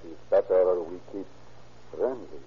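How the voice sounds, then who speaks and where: A man playing a cautious, polite Mexican deputy in a radio drama, speaking halting English with a Mexican accent. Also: sits low, breathy edge. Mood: cautious, reluctant. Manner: halting broken English, deferential. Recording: dull vintage radio recording.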